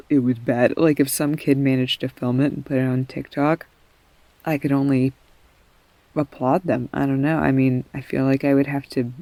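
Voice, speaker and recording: A woman's voice: extremely low pitch, warm tone, no echo. A young woman playing an affectionate, self-deprecating girlfriend in an intimate roleplay audio, rambling candidly and casually. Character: affectionate, self-deprecating girlfriend comforting her partner, goofy storyteller.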